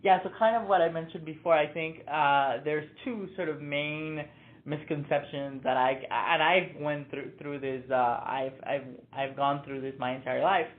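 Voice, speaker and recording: A man's voice: fairly high pitch, fairly even intonation, normal speed. A young man raised in Mexico answering interview questions earnestly and thoughtfully, with many fillers and restarts. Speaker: interview guest, nonprofit founder. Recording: tinny phone-like line.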